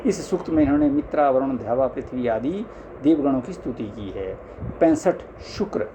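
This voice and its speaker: A man's voice: medium pitch, animated, moderate pace, highs cut off, background noise. A man formally reading scholarly religious text aloud, now and then stumbling, in an amateur recording. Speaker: reader of a scripture audiobook.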